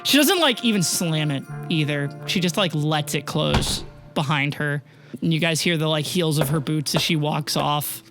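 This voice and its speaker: A game master improvising narration for a tabletop audio drama, informal and with dramatic pauses. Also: medium pitch. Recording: music bed under the voice.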